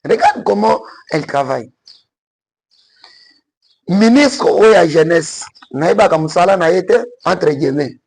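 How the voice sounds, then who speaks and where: Gravelly high Congolese male commentator. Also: animated, measured pace, pauses often, darkish tone, poor audio. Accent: Congolese French. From Congo (DRC). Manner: emphatic, switches between French and Lingala. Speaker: political commentator. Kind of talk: political commentary.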